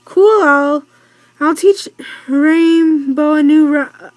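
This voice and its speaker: A woman reading the part of a cheerful, excitable girl in a children's storybook, bubbly but halting. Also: high voice, dry recording. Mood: proud. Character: cheerful, excited girl proud of her parrot. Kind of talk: children's storybook read-aloud.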